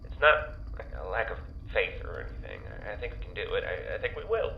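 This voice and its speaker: A man's voice: fairly low pitch, wildly swooping pitch, brisk, vocal fry, exaggerated voice. An actor playing an anxious, overwhelmed young man who stammers and swears casually. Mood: weary. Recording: muffled echoey room with faint hiss.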